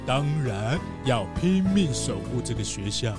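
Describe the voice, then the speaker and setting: A man's voice: medium pitch, very animated, unhurried, over music. A man playing a humble, determined old recycling collector in a children's radio drama, speaking with earnest, rousing emphasis. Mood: earnest, proud.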